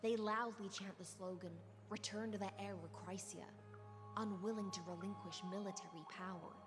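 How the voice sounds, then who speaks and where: A woman playing a serene, cold and teasing sea-born assassin in a video game, languid and softly menacing. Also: mid-range voice, steady tone, normal speed, music in the background, natural voice. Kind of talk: video game character acting.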